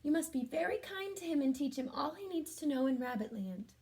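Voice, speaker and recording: A woman voicing a kindly female magic fairy from a children's storybook, gentle and reassuring. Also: sits high, expressive, a little fast.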